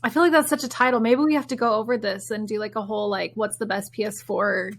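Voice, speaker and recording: A woman's voice: mid-range voice, fairly even intonation, fast, creaky. A woman co-hosting a podcast, chatting casually about video games and telling rambling stories with acted-out quotes. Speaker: podcast co-host.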